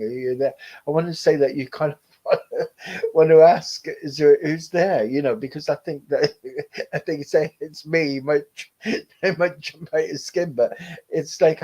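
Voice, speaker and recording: A man working as a medium, giving a live online reading in a gentle, rambling, reassuring way with frequent fillers and soft laughs. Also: on the high side.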